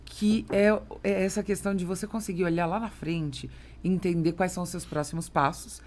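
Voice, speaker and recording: A woman's voice: fairly low pitch, steady tone, a little fast, darkish tone. A Brazilian woman hosting a business podcast, explaining things conversationally and with emphasis. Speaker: podcast host.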